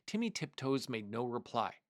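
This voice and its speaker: A man reads a children's story aloud in a mid-pitched voice. The delivery is expressive and deliberate, with scripted storybook phrasing. The sound is dry and close. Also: dry recording.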